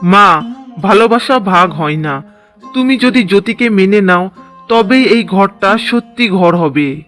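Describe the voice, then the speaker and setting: A man's voice: high-pitched, expressive, slow, a bit bright, soft background music, exaggerated voice. A man playing an earnest, reproachful young village son in a cartoon drama. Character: indignant, dutiful young married village son. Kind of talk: Bengali cartoon drama dialogue.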